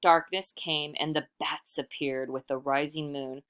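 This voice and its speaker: A woman reading a storybook aloud in expressive narration, animated and putting questions to the listener. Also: low voice, unhurried. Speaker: storybook reader.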